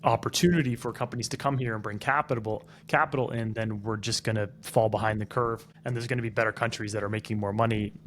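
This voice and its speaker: A man's voice: on the low side, fairly even intonation, fast talker, few pauses, fairly bright tone, a little hiss or hum. A man co-hosting a casual podcast who gives opinions and explains things at length. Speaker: podcast co-host.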